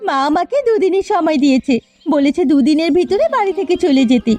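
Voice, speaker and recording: Woman doing a young cartoon sister-in-law, really high and soft-toned, pleading, earnest. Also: animated, a little fast. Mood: resigned, sad. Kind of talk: cartoon voice acting. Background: faint music.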